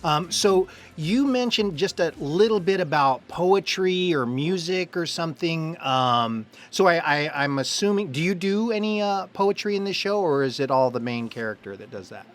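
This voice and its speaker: Higher-voiced male interviewer, effusive. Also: expressive, moderate pace, hardly any pauses. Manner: effusive, encouraging. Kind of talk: casual interview chat.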